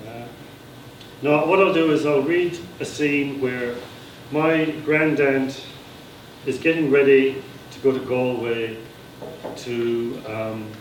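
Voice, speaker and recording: Male Irish author for live story reading, mid-pitched, recorded at a distance with faint noise. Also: fairly even intonation, takes it slowly. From Ireland. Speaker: author reading own book. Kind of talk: live literary reading with informal asides. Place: live reading at a society gathering. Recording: echoey room, distant mic, background noise.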